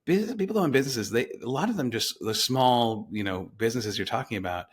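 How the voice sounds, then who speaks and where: A man's voice: mid-range voice, lively intonation, fast talker, breathy edge. A man in his late thirties chatting casually as an interview guest, repeating words for emphasis and voicing other people's lines. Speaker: interview guest, business broker. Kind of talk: casual business interview chat.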